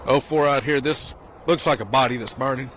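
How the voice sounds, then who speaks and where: A man's voice: mid-range voice, a little fast. A man speaking spontaneously as a police officer working outdoors at a scene. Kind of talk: spontaneous on-scene talk and radio calls. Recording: noisy outdoor body camera audio. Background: loud ambient noise, radio, vehicles.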